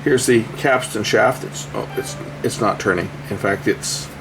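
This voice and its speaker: A man's voice: on the low side, a little slow, creaky, noisy background. A man giving casual, unscripted repair commentary, thinking aloud as he works with his hands. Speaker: electronics repair video host.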